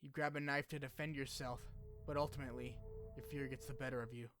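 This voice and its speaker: A man's voice: medium pitch, fairly even intonation, fast. A man hosting a video essay, mixing scripted narration with casual, joking asides and some swearing. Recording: music behind the voice. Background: background music.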